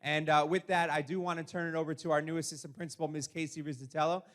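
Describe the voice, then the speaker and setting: A man speaking as a school administrator, welcoming an audience in an informal, somewhat halting way. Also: a little high, little pitch movement, fairly bright tone. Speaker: school administrator opening an event.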